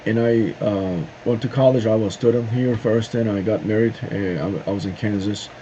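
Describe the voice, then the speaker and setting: A middle-aged man speaks in a low, soft-edged voice, addressing his viewers in a halting, searching way full of "um" and "uh". The recording is dull and lo-fi. A faint hiss sits underneath. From Turkey. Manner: halting, searching for words with frequent "uh" and "um" pauses. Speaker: video host. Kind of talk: casual opinion monologue to camera. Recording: dull lo-fi sound with faint noise.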